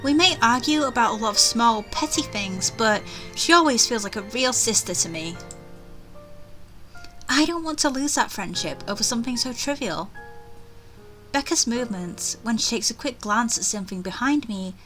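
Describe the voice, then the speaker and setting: A young woman with a bright, crisp-edged voice hosts a casual let's play. She moves between offhand commentary and reading game text aloud, with faint music underneath. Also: a little high, lively intonation, normal speed.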